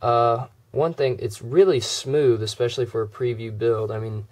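A man's voice: medium pitch, moderate pace. Man with a cold showing off a phone. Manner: relaxed, many "uh" and "um" fillers. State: hoarse, fighting a cold. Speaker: tech video creator. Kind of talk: casual screen demo walkthrough.

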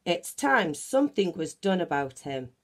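A woman voicing a fed-up, commanding male lion in a children's storybook, stern and deliberate. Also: on the low side, very animated, full character voice.

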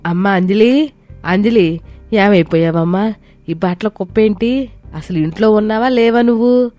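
A woman's voice: mid-range voice, moderate pace, warm tone, natural voice. Woman playing elderly mother-in-law, cranky, nagging, sarcastic, dramatized family story, music behind. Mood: angry, exasperated. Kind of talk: dramatized moral story voice acting.

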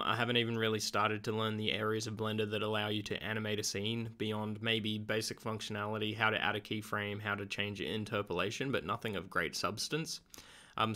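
A young man, slightly hoarse from being sick, chatting in a relaxed, rambling way with lots of ums in a video diary at home. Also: fairly low pitch, normal speed. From Australia. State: slightly hoarse, getting over an illness. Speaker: vlogger.

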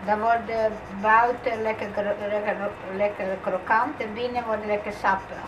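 A middle-aged woman showing a recipe casually at home, speaking haltingly and mixing languages. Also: medium pitch, flat delivery, highs cut off. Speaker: home cook, guest. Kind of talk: casual cooking demonstration. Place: small home kitchen, indoors. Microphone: camera microphone at a little distance. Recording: noisy kitchen, camera mic. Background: kitchen cooking noise.

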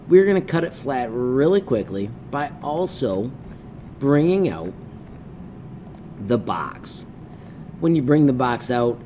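A middle-aged man presents a hands-on computer tutorial in an informal, upbeat way. His pitch is average for a man, and he drops in punchy little exclamations and folksy phrases. Some low background noise sits behind his voice. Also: dark tone. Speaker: tutorial presenter teaching 3D design. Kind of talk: step-by-step software tutorial, informal. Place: indoors at a computer, webcam screencast.

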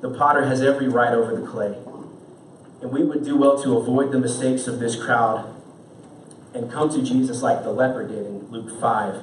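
A man's voice: medium pitch, steady tone, normal speed, a little hiss or hum. Young guy preaching, earnest, lots of questions, sounds a bit far off in a roomy church. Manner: earnest, asks many rhetorical questions. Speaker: pastor. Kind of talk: sermon. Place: church stage with band gear, indoors. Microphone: stand mic, far camera.

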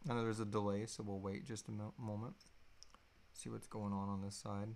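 A man's voice: lowish voice, flat delivery, brisk, darkish tone, a little hiss or hum. Loose, joking man in his thirties. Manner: loose, joking, casual swearing. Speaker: podcast co-host answering listener questions. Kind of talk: casual live Q&A chat. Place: small indoor room.

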